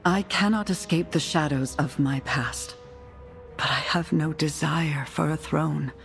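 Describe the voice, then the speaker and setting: An actress playing a solemn, humble undead noblewoman in a video game cutscene, measured and earnest. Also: low-pitched, creaky. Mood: sorrowful, earnest. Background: music.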